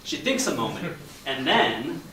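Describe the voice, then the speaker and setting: A man's voice: mid-range voice, very animated, a little slow, sharp tone. A man reading stage directions as narrator of a comic play at a staged reading. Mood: narrating. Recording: echoey hall from far off, faint noise.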